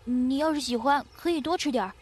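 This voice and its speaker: A woman's voice: high-pitched, fairly quick, natural voice. A woman playing a friendly, modest boy from the woods in a Chinese costume radio drama, boyish and eager. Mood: cheerful. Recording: faint background noise, outdoor nature ambience.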